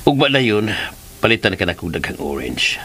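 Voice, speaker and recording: A man playing a devoted, gentle middle-aged partner in a radio drama, coaxing and expressive as he talks. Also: lowish voice, fairly quick, slightly rough, exaggerated voice. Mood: tender, reassuring. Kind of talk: Philippine radio drama acting. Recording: radio drama with music bed. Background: music.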